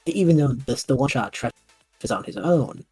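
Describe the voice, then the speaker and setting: Male podcast host, soft mellow tone, slightly raspy, halting phrasing, casual interview chat, dry sound. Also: medium pitch, animated, no room sound, soft background music. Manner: halting, rephrasing questions mid-thought. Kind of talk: casual podcast chat about tabletop roleplaying shows.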